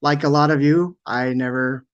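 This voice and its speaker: A man hosts a podcast interview in an easygoing, friendly manner, filling his pauses with ums. His voice comes through a slightly dull, muffled mic. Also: fairly high pitch, steady tone, clear, resonant tone. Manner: friendly and easygoing, lots of ums and short backchannels. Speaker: podcast host.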